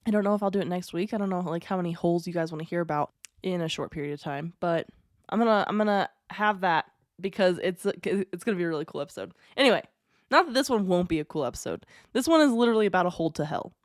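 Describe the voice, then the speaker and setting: A woman's voice: on the low side, fast, no echo. Chatty woman with a dry, close voice. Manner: chatty and digressive, with asides and wry jokes. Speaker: podcast host. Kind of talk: casual storytelling podcast.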